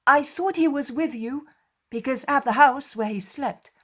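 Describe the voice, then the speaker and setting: A man's voice: very high voice, measured pace, pushed and bright, pitched above the performer's own voice, exaggerated voice. A woman voicing Andrew, an earnest adult male disciple who asks questions in a raised, inquiring voice.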